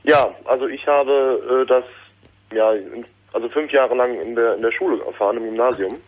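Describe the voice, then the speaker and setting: A man's voice: mid-range voice, normal speed. Young man, hesitant, phone line. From Germany. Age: 20s. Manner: hesitant, many fillers and restarts. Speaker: caller.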